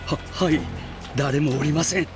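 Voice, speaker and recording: A man's voice: on the high side, fast talker, close to an everyday voice. An actor playing a grave, shaken man in a dramatic Japanese visual novel. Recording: loud music over game audio.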